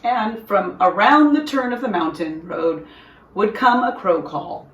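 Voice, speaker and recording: A woman reading a children's story aloud in an expressive storyteller's style, with deliberate, dramatic phrasing for young listeners. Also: medium pitch. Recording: roomy echo, distant from microphone.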